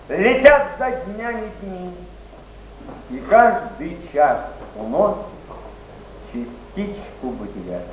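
A fervent poet recites verse slowly in a strikingly high voice. He is solemn and world-weary, heard in an echoey old theatre recording. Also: lo-fi sound, a little hiss or hum, exaggerated voice.

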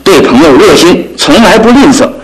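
An actor playing a sociable, flattering housemate in a radio drama, speaking with effusive politeness. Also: male voice, high-pitched, normal speed, background noise. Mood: effusive praise. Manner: effusive, polite, chuckling hesitations.

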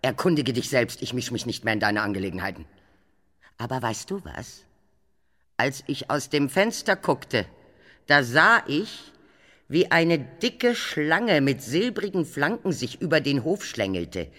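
A man's voice: medium pitch, very animated, a little slow, bright, forceful tone, full character voice. An actor in a radio play as a mocking, gossipy messenger, teasing and theatrical as he tells his tales. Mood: eerie storytelling. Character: mocking, gossipy male bringer of messages at court.

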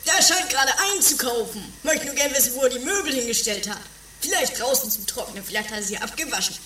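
Woman acting a high, brash, excitable comic character. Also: fast talker. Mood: puzzled, excitable. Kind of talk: amateur comedy radio play. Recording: distant, roomy, noisy 1980s amateur tape.

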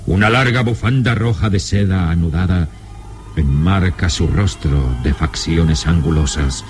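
A man narrating a gothic adventure radio serial in a solemn, suspenseful manner with deliberate phrasing. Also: sits low, a little mellow. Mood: solemn, ominous. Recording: old radio serial with dramatic music. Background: dramatic music.

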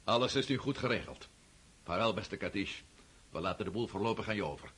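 An actor playing a scheming, worldly older Russian prince in a radio play, suave and patronising, with fussy interjections. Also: male voice, fairly low pitch, expressive, fairly quick, a bit bright. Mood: smooth, advising. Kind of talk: radio drama acting. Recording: noisy old radio play recording.

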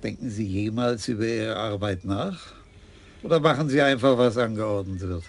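An actor playing a wry, stubborn police commissioner in a radio crime play. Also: male voice, on the low side, normal speed, a little hiss or hum, natural voice. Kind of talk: radio drama acting.

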